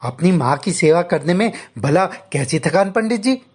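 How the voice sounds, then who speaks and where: A man's voice: sits high, animated, normal speed, slightly rough. A man voicing a humble, devout, hardworking married villager in a story. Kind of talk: story dialogue.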